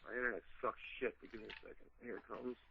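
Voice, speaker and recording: A man's voice: medium pitch, steady tone, fast, gravelly, highs cut off, slight background noise. A man co-hosting a radio show in casual banter, needling and foul-mouthed, on a radio stream.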